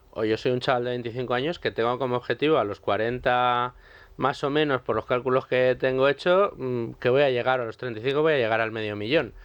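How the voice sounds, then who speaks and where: A man co-hosting a radio show, asking measured questions for the listeners. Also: medium pitch, a bit bright, clear, resonant tone, no room sound. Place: radio studio. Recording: slightly dull radio studio sound.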